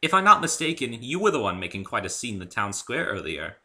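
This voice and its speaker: A refined lady unicorn, acted out by a male reader gushes and gossips in a story. The voice is pitched up, swooping and bright, with posh, dramatic airs and lingering emphasis on choice words. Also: sing-song, quick pace. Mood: brisk, polite. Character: refined, theatrical female unicorn fashion designer, gushing and gossipy.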